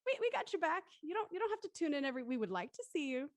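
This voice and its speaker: A woman's voice: high voice, sing-song, very fast, no echo, clean studio sound. A woman hosting an online panel discussion in an effusive, polite way. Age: adult. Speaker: host. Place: indoors on a group video call.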